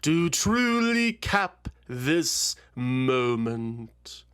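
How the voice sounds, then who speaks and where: A man voicing a savage, gloating female dragon in a fantasy audio story, mocking and crowing in drawn-out triumph. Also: medium pitch, sing-song, a bit bright, clear, resonant tone, exaggerated voice.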